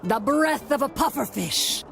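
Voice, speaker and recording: A woman's voice: high voice, expressive, measured pace, vocal fry, loud music behind the voice, full character voice. A woman voicing a mysterious, theatrical fortune teller in a cartoon game, dramatic and coaxing.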